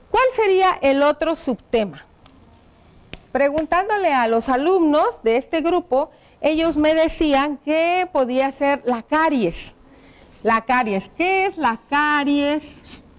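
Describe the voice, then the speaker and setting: A woman teaching a primary school lesson on educational television, explaining patiently and often checking in with her pupils. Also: high voice. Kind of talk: educational TV class, addressing pupils.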